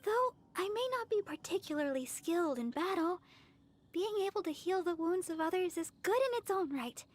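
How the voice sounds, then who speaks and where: A woman voicing a gentle, modest young church healer girl in a video game, earnest and soft-spoken. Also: very high-pitched, animated, moderate pace. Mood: modest, reflective.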